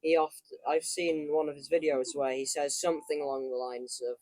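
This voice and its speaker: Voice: mid-range voice, flat delivery, normal speed, darkish tone, low-quality recording. A teenage student co-hosting a casual podcast, rambling through a story with many stops and restarts. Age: teens. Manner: rambling, full of ums and restarts. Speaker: podcast co-host. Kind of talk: casual chat.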